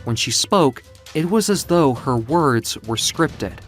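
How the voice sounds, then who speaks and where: A narrator telling a dramatic story in a measured, literary, theatrical way, as in an audio comic book. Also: medium pitch, expressive, faint music behind.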